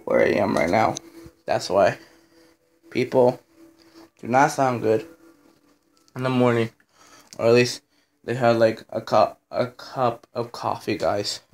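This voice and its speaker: A young man with a hoarse, sick morning voice, rambling casually to viewers in a phone vlog from his bedroom. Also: mid-range voice, slow, pauses often. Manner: rambling, filler-heavy, addresses viewers as guys. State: hoarse from being sick and just woken up. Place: dim bedroom at home, indoors. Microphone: phone held in hand.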